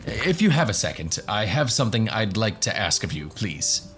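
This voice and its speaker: A man's voice: fairly low pitch, very animated, moderate pace, a bit bright, slight background noise. A man voicing a flustered, eager professor who rambles and fumbles politely in a radio-play tabletop game.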